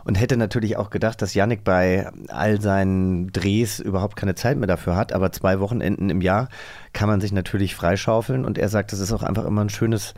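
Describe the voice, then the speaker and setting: A man's voice: lowish voice, steady tone, fairly quick, darkish tone, dry recording. A man hosting a casual interview, chatting conversationally with his guest. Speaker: podcast host.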